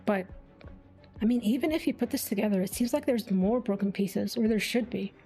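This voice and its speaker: A woman's voice: medium pitch, fairly quick, dark tone, husky. A woman streaming a game, giving casual, wry, sceptical commentary as she thinks aloud. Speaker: gaming streamer. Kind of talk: casual reaction commentary over gameplay. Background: game music.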